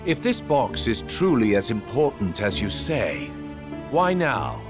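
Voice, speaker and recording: Casting: a cool, middle-aged strategist for a game cutscene, unhurried and probing, with expressive swings in pitch. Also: male voice, over music. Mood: coolly knowing. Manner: measured, deliberate, probing. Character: calm, calculating middle-aged male card-playing schemer. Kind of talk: cinematic video game voice acting.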